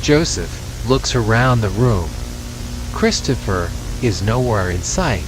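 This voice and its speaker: Slow male storybook narrator over music. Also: on the low side, animated, poor audio. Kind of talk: scripted storybook-style horror narration.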